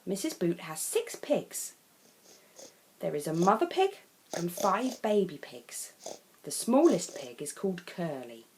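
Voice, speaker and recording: Very animated English woman reading a storybook. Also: fairly low pitch, unhurried, slight background noise. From England. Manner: simple, clearly phrased, expressive. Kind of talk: children's storybook narration.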